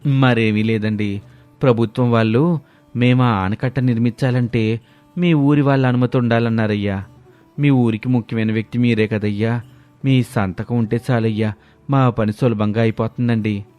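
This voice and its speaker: A man's voice: mid-range voice, fairly even intonation, moderate pace, darkish tone, faint music behind, natural voice. An actor playing a deferential, persuasive townsman in a cartoon moral story. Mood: polite, coaxing.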